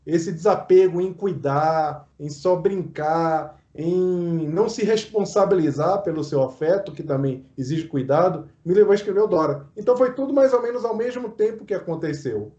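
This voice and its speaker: Man, author guest, storytelling, dark mellow voice, echoey dull recording. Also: sits high, moderate pace, hardly any pauses. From Pernambuco, Brazil. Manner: storytelling, acts out quoted speech. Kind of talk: interview answer. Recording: dull roomy sound.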